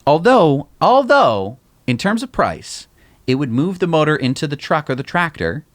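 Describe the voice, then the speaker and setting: A man's voice: on the high side, sing-song, moderate pace, no room sound. A man co-hosting a podcast, agreeable and thoughtful in casual chat. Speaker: podcast co-host.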